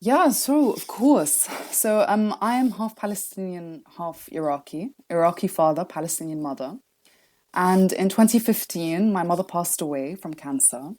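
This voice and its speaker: A woman with a slightly low voice speaks as a podcast guest. She talks thoughtfully and softly, pausing as she gathers her thoughts. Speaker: guest, embroidery workshop co-founder. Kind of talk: casual interview chat.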